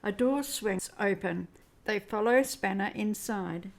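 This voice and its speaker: A woman's voice: medium pitch, unhurried, gravelly. A woman reading scripted narration for a science fiction audio drama.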